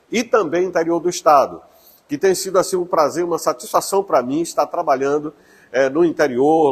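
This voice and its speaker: A man who is a church pastor, giving an earnest personal testimony and pausing now and then with fillers. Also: on the high side, slightly rough.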